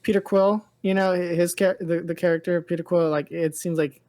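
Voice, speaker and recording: A woman's voice: fairly low pitch, steady tone, a little fast, warm tone. A woman co-hosting a pop-culture podcast in casual chat, rambling and hedging as she talks informally. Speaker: podcast co-host.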